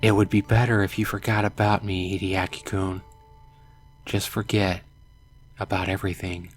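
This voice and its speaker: A man voicing a gentle, apologetic young woman from a visual novel, softly and haltingly, with music behind. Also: low-pitched, little pitch movement. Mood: tearful, soothing.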